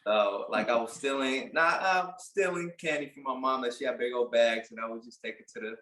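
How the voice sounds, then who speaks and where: A man in his late twenties from Puerto Rico, a barber telling his story in a casual interview, relaxed and halting with many fillers. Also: medium pitch, echoey room. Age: late 20s. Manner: relaxed, halting, lots of "like" and restarts. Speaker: barber and business owner, guest.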